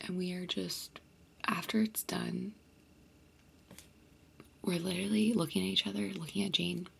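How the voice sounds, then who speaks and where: A woman's voice: fairly low pitch, steady tone, normal speed, creaky, husky. A young woman vlogger whispering a casual, chatty storytime to her viewers. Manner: chatty, many fillers.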